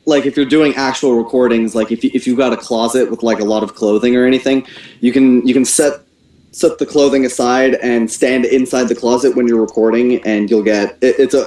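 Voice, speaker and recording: A man with a medium-pitched voice speaks from an echoey room over an internet call. He explains things casually in a halting way, repeating words as he goes. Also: dark tone. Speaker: audio technician advising a voice cast.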